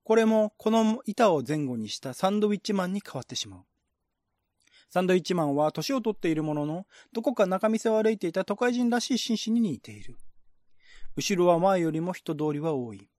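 Higher-voiced man narrating, dry sound. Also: very animated, a little fast, a little mellow, no room sound. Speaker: narrator, reader. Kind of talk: scripted narration, radio-drama style literary reading.

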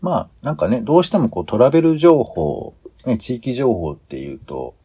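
A man's voice: medium pitch, big pitch swings, normal speed, dark tone, husky. A man co-hosting a podcast in a casual planning chat, feeling his way through roundabout, hesitant phrasing. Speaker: podcast co-host.

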